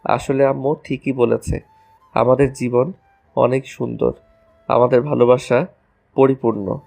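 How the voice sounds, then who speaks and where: A man's voice: medium pitch, little pitch movement, measured pace, vocal fry, a little mellow, faint music behind. A man playing a playful, romantic young husband in an audio love story, teasing and tender.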